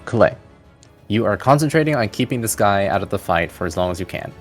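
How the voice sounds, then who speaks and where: A man's voice: on the low side, expressive, fairly quick, soft background music. Casual man running a tabletop game. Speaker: game master. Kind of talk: narrating a tabletop roleplaying game.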